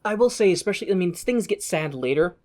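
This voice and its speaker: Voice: medium pitch, animated, quick pace, a little mellow. Irreverent podcast co-host recapping a film. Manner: irreverent, jokey. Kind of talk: comedic film recap, casual retelling.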